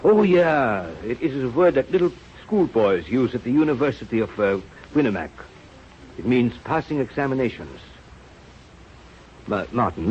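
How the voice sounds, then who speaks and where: A man acting old professor, gruff kindly mentor, halting broken English, very lively pitch, radio play, dull noisy old recording. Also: natural voice. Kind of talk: 1930s radio drama acting.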